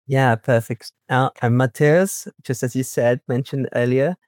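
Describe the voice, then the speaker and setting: A man's voice: medium pitch, steady tone, unhurried, darkish tone, no room sound, clean studio sound. A young Brazilian man with a Brazilian Portuguese accent, a guest researcher talking casually on a video call, hesitant and restarting his phrases. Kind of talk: casual interview chat. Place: home in a city.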